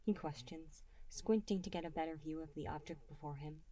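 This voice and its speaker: Mellow, low-voiced woman narrating a story. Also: brisk. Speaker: reader and writer of the story. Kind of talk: narrating fan fiction audiobook.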